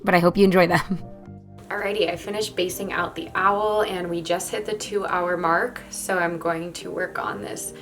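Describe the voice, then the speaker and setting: A woman with a raspy, hoarse voice, chatting casually as she narrates her body painting. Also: on the low side, steady tone, normal speed, fairly bright tone, faint music behind. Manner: chatty, rambling, laughs between thoughts. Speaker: body paint artist and vlogger.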